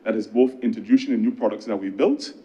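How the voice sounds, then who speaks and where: A Barbadian man speaks as a government minister in a low, mellow voice. His speech is earnest and conversational, built on storytelling and emphatic pauses. The recording sounds slightly dull. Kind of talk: political speech in parliament with anecdotes.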